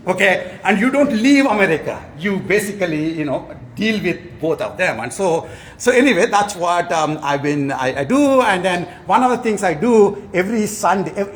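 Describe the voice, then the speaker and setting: A man's voice: fairly high pitch, a bit bright, slight background noise. A man from India, a professor giving a conference award lecture with joking asides. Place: conference hall before an audience.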